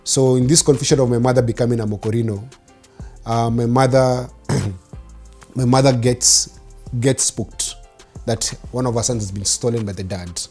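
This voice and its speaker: A man's voice: mid-range voice, steady tone, moderate pace, darkish tone, faint music behind. A man in his forties with a Kenyan accent and Swahili as his first language, telling his life story conversationally as an interview guest. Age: 40s.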